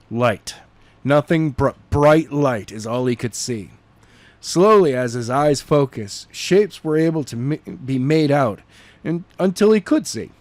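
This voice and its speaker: A man's voice: medium pitch, darkish tone, no room sound. A man reading a chapter of a novel aloud in an unpolished way, often stumbling and repeating words. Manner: halting, with frequent repeats and restarts. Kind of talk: unpolished read-aloud narration.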